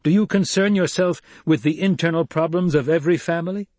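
A man voicing an intense young male desert leader in an audiobook, speaking with emphatic, deliberate command. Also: a little high, a little mellow, no echo, pitched above the performer's own voice, lightly acted. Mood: firm, commanding.

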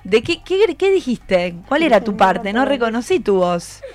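A woman's voice: a little high, big pitch swings, unhurried, darkish tone, soft background music. A woman with an Argentine Rioplatense accent hosting a radio show in a conversational interviewing style, prompting her guests with questions. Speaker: radio host.

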